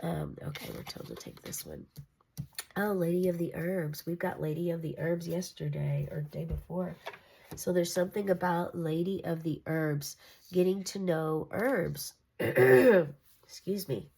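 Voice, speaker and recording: A woman's voice: on the low side, unhurried, gravelly, darkish tone, a little hiss or hum. A congested, nasal woman giving a casual, meandering tarot reading. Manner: meandering, reassuring, with fillers and restarts. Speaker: tarot reader.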